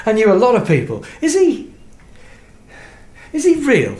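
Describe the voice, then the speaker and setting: A man's voice: high voice, wildly swooping pitch, slow, darkish tone, exaggerated voice. A man acting in a naturalistic radio drama, playing an irritable, sleepless young husband who is sarcastic, brittle and on edge. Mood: agitated, sardonic. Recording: roomy sound with faint background noise.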